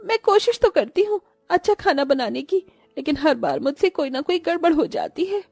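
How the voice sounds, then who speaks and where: A woman's voice: high voice, lively intonation, normal speed, gravelly, darkish tone, no room sound, pitched above the performer's own voice. A woman voicing an apologetic, easily hurt young daughter in a cartoon story, earnest and pleading. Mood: tearful pleading. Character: apologetic, hurt 25-year-old daughter, eager cook.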